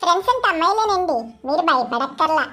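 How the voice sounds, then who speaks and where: A woman's voice: very high-pitched, lively intonation, fast, pushed and bright, exaggerated voice. A woman voicing a coy, chatty young bride-to-be in a comic cartoon, sounding innocent and blithe.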